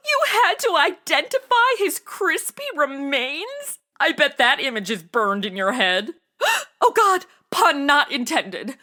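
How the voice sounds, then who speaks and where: Woman playing ditzy comedy-drama girl sleuth, high voice, very animated swoops, gushing, flippant, blurting. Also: unhurried, full character voice. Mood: tactless, excited. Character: bubbly, tactless young woman, modern amateur sleuth sidekick. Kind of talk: comedy audio drama acting.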